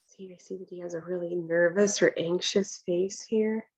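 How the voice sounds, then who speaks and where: A woman in her thirties reading a picture book aloud to children, deliberate and instructional, pausing to explain and ask questions. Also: on the low side, steady tone, a little mellow, husky. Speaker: read-aloud presenter for children. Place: home kitchen, indoors.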